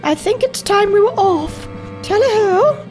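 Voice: very high-pitched, lively intonation, unhurried, music in the background. An actor voicing a flustered, politely hesitant cartoon character in a video game, with posh phrasing.

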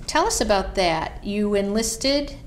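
Polite woman interviewer, mid pitch. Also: lively intonation, slight background noise. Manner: polite, measured questioning. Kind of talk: oral history interview. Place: library room.

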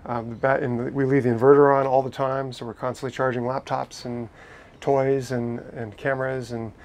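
A man's voice: mid-range voice, little pitch movement, normal speed, a little mellow. Enthusiastic man giving a boat tour. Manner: enthusiastic, explanatory, frequent restarts. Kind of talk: casual walkthrough tour. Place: inside a catamaran saloon, docked.

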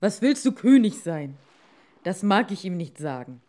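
A woman's voice: on the low side, sing-song, unhurried, dark tone. A woman voicing a meek, henpecked fisherman in a fairy tale, plaintive, sighing and hesitant. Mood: reluctant, troubled. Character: meek, reluctant old-tale fisherman husband, henpecked and troubled.

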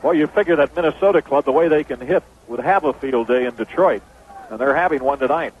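Tinny vintage radio baseball announcer, high-pitched man. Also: fast talker. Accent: American. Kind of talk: 1970s radio sports commentary. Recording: noisy phone-band vintage radio broadcast.

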